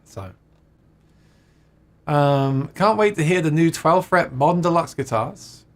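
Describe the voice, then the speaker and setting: A man's voice: fairly high pitch, animated, takes it slowly. A man hosting a casual livestream, reading out viewer comments and chatting with plenty of laughs and restarts. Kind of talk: casual chat about guitars.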